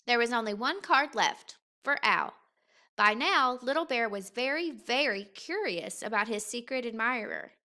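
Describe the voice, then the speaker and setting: A woman reading a children's story aloud in an expressive, unhurried, deliberate way. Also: a little high. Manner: unhurried, expressive, deliberate phrasing for young listeners. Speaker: teacher reading a picture book aloud.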